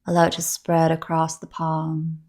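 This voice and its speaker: Woman, meditation teacher, low voice, even monotone, soft and breathy, soothing, measured with long pauses. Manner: soothing, gentle, measured delivery with long pauses between phrases. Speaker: yoga nidra teacher. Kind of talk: guided meditation.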